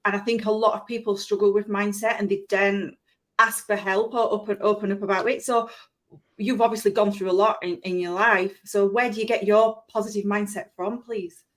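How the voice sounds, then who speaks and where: A woman's voice: mid-range voice, steady tone, normal speed. A middle-aged woman from Yorkshire with a Yorkshire accent, chatty and enthusiastic as she hosts a live-stream interview. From Yorkshire, England. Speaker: host.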